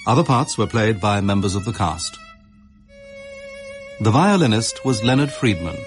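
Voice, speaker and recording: A man's voice: on the low side, animated, normal speed. A man with a Received Pronunciation English accent reading scripted closing credits as a radio announcer. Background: violin music.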